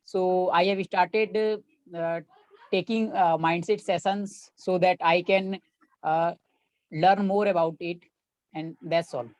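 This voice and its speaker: A young man with an Indian English accent, introducing himself earnestly over a video call and pausing often with fillers. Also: high voice, fairly even intonation, darkish tone, dry recording, low-quality recording. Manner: earnest, hesitant with many fillers. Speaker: participant, cricketer.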